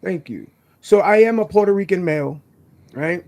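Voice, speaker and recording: High-voiced, emphatic man in his forties. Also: normal speed, darkish tone. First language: Spanish. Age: 40s. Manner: emphatic, checks in with "right?". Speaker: call-in guest on a live panel show. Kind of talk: casual talk, storytelling.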